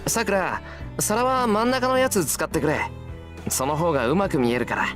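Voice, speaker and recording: An earnest teenage schoolboy in an anime visual novel, blunt and protective of his friend. His delivery is quick and very animated in pitch, with music playing behind. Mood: matter-of-fact.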